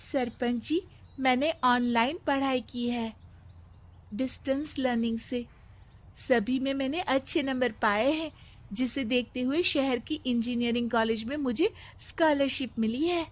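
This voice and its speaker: A woman voicing an earnest bright village girl. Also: high voice, measured pace. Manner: earnest, articulate. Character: confident young village girl student.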